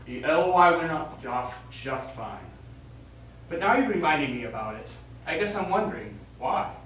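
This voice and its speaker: A frank, probing mission commander played by a male stage actor, with a slightly high, very animated voice. The live play dialogue comes from far off in a heavily echoing space. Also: moderate pace. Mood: probing, wary. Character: blunt male space mission commander questioning his crewman. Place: small theater studio, recorded from audience distance. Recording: echoey hall, distant mic, faint noise.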